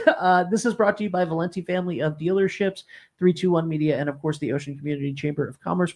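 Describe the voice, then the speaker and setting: A man's voice: fairly high pitch, a little mellow. A man hosting an interview series, bantering and joking with his guest, with frequent ums and uhs. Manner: jokey, friendly banter, many fillers. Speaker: interview show host.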